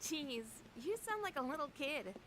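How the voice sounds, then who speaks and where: A woman voicing a bright, teasing young woman in an anime video game. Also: high-pitched, big pitch swings, normal speed, slight background noise. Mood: light, curious.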